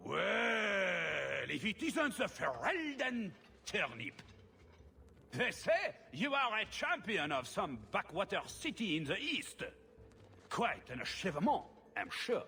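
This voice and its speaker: An actor voicing a haughty, spoiled nobleman in a video game, pompous and sneering, with a creaky, piercing voice. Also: high-pitched, bright, forceful tone, heavily acted.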